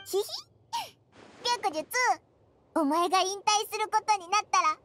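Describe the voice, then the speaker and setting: A woman voicing a small childlike fairy companion in an anime game, squeaky, brash and easily offended. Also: a little slow, sharp tone, slight background noise, heavily acted. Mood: scheming, eager. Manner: brash, exclamatory, refers to self cutely. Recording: studio voice over background music.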